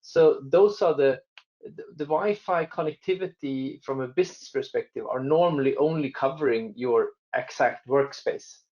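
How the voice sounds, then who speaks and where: A man's voice: mid-range voice, moderate pace, darkish tone, lo-fi sound. Hesitant Swedish man on a call. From Sweden. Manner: hesitant, many restarts and fillers. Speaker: telecom industry guest. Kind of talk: conversational interview answers.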